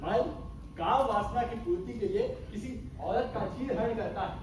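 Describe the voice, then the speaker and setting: A man's voice: high voice, normal speed, natural voice. A young man on stage playing a cynical, rebellious army captain in Hindi, with argumentative, theatrical delivery. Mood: scornful, impassioned. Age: young adult. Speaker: student stage actor. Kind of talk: Hindi stage play acting. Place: stage in an echoing hall. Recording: echoey hall, distant mic, noisy.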